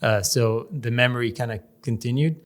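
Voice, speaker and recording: Measured, searching man in an interview. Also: medium pitch. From Canada. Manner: measured, searching for words, explains at length. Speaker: podcast guest, real estate developer. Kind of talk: casual interview conversation.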